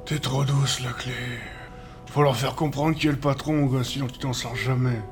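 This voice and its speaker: Man acting a gruff old crook, grumbling. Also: mid-range voice, steady tone, normal speed, music in the background, close to an everyday voice. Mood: blunt, dismissive. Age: older. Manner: blunt, grumbling, casual slangy talk. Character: gruff, cynical older male chain-smoking gang driver. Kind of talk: audio drama acting.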